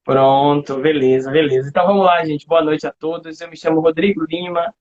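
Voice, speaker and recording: A man with a notably high voice and a Bahian Brazilian accent hosts an informal online chat. He speaks colloquially, and the livestream audio is dull and lo-fi. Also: fairly even intonation, fairly quick, a little mellow. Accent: Bahian Brazilian Portuguese. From Salvador, Bahia, Brazil. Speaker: host, literature teacher. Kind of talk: casual live chat. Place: online video live, remote call.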